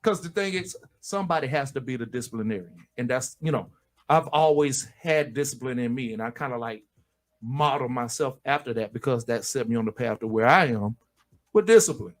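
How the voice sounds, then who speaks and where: A man co-hosting a radio show in casual banter, animated and joking. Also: mid-range voice, brisk. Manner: animated, joking, swings into loud stern put-on voices.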